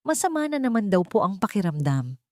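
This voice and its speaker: A woman's voice: medium pitch, sing-song, brisk, mellow, dry recording, pitched above the performer's own voice, natural voice. Woman narrator acting young daughter-in-law, Filipino accent, falsely sorrowful, pleading, weighty words, storybook drama, dry sound. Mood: sad, pointed.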